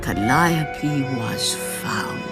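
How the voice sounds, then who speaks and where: Solemn epic narrator, loud music. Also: female voice, a bass voice, lively intonation, full character voice. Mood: solemn, wistful. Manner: solemn, dramatic, measured. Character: solemn omniscient storyteller of Greek myth. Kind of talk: scripted epic game narration.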